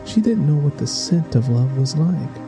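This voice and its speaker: A man's voice: on the high side, lively intonation, moderate pace, creaky, over music. A man reading a scripted, dramatic story as its narrator. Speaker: audiobook narrator.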